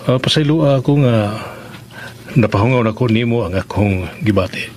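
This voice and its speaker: Actor as grieving, confiding Visayan widower. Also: male voice, mid-range voice, darkish tone, slight background noise, close to an everyday voice. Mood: wistful. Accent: Visayan Philippine. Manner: halting, sentimental, confiding. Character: grieving widowed master of the house, a father, gentle and earnest. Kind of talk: Filipino radio drama acting.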